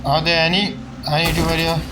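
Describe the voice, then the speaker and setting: Higher-voiced man casually commenting on gameplay. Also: level tone, fast, background noise. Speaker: video game streamer. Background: game music.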